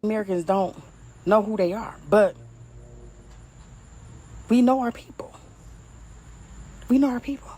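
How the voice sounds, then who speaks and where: A woman's voice: mid-range voice, measured pace, long pauses, mellow, slight background noise, poor audio. Laughing, mocking woman from Detroit. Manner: laughing, mocking, blunt and profane. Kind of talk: casual commentary to camera.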